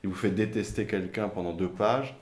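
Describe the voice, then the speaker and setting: A man speaking on a round-table panel, hesitant and halting with many fillers and restarts. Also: on the low side, fairly even intonation, darkish tone. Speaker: author on a panel. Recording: echoey room, faint ambience.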